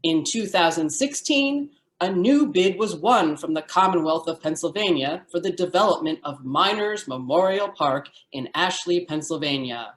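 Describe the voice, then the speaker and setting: A woman's voice: lowish voice, lively intonation, moderate pace, few pauses. A woman announcer reading scripted narration for a radio show. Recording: roomy, echoing sound.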